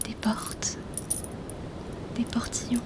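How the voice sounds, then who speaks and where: A woman voicing a mysterious, shrewd mermaid healer in a whispered, intimate ASMR roleplay. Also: lightly acted. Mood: curious, coaxing. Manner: hushed, slow, intimate, teasingly questioning.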